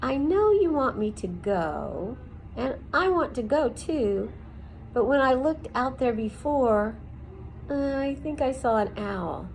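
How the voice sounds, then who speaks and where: A woman's voice: sits high, very animated, slow, vocal fry, a little hiss or hum, voice pushed up, exaggerated voice, poor audio. A woman reading aloud and voicing a timid young female mouse who hesitates and stammers.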